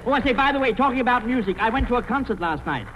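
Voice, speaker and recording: High, pompous, daft radio poet. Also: male voice, lively intonation, rapid-fire. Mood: pompous silliness. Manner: polite, mock-pompous. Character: daft, self-satisfied male quiz-show expert and poet. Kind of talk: 1940s radio comedy acting. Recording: muffled, faintly noisy 1940s radio recording.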